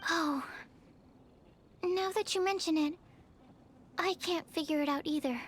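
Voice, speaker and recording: A woman's voice: very high-pitched, a little slow, slight background noise. A woman voicing a timid, bookish young female alchemist who speaks politely and carefully in an anime video game. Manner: hesitant, politely formal, careful. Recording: faint music behind the voice.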